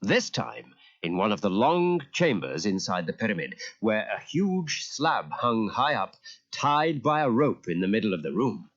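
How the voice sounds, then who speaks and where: Male narrator, children's storybook, very lively intonation, husky, muffled cassette sound. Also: fairly low pitch, a little slow. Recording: muffled old audio cassette.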